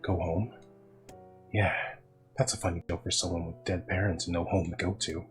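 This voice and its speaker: A cruel boy bully who taunts and shouts at his victim, as a man puts him on. The voice runs low, with faint music underneath. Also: flat delivery, moderate pace, lightly acted. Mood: sneering.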